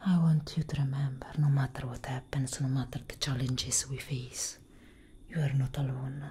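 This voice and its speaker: A young woman playing a tender, protective elder vampire sister in a whispered ASMR roleplay, breathy and solemn, close to the microphone. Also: a bass voice, level tone, mellow, a little hiss or hum. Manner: slow, intimate, deliberate.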